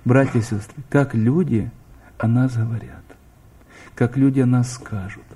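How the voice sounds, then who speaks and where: Male preacher, sermon, asks the congregation questions, husky voice. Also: mid-range voice, fairly even intonation, measured pace, dark tone. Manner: addresses listeners directly with questions, rising emphasis.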